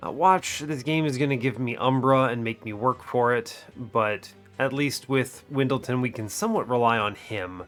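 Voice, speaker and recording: A man's voice: medium pitch, moderate pace, few pauses, soft background music. A young man hosting a gaming channel, talking through a card game while sick, his voice muted and a bit nasal, rambling and correcting himself as he goes. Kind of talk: game commentary. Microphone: desk microphone.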